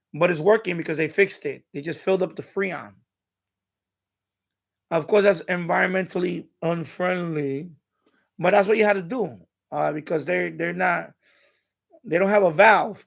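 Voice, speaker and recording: A man hosting a casual live stream, rambling and repeating himself in halting, unscripted sentences. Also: high voice, gravelly. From New York City, USA.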